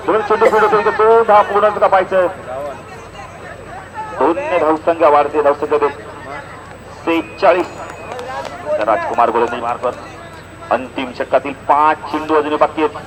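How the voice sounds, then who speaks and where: A man doing live cricket commentary, moving between measured announcing and excited calls. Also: mid-range voice, low-quality recording. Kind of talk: live local cricket tournament commentary in Marathi. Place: outdoors at a cricket ground. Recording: outdoor PA with crowd noise.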